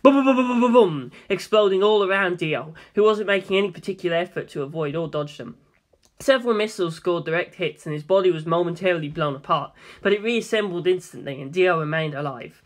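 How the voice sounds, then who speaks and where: A man's voice: high-pitched, a little fast. A man reading a scripted first-person story as an audiobook narrator.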